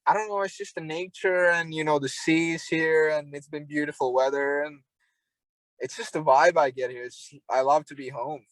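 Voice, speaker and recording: A man's voice: fairly high pitch, steady tone, moderate pace, no room sound, rough recording. A young Finnish man talking easily as a podcast guest, his English carrying a Finnish accent. He sounds laid-back and agreeable and leans on filler words. The recording is dry and close. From Finland. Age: young adult. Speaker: podcast guest, hockey prospect. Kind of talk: casual interview chat. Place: home in Finland.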